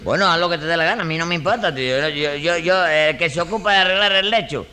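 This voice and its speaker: A man's voice: fairly high pitch, fast, bright, forceful tone, smooth. A man playing a brash, argumentative Cuban rogue on trial, with a Cuban accent, in a radio comedy. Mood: know-it-all. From Cuba. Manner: cocky, argumentative, keeps saying chico. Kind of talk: vintage radio comedy acting.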